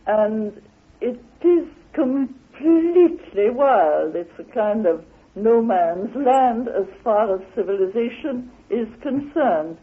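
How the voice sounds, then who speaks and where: A woman recounting an expedition in an emphatic, halting way, as a spoken anecdote. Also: on the high side, animated, husky. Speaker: explorer and theorist recounting her expedition. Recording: tinny band-limited old radio recording.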